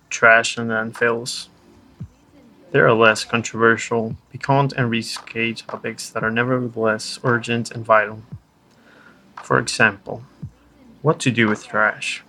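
A man's voice: mid-range voice, little pitch movement, a little slow, pauses often, pushed and bright, soft background music, rough recording. A man reading a scripted essay aloud as a podcast narrator. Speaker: podcast reader.

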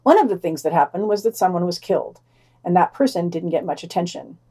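A woman's voice: on the low side, animated, fairly quick, dark tone, slightly rough. A woman reading a scripted podcast news digest, adding wry, sardonic commentary in a dry manner.

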